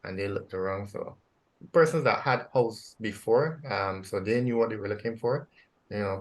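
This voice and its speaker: A man from Barbados on a panel, telling his story in a relaxed way. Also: fairly low pitch, recorded from a distance, poor audio.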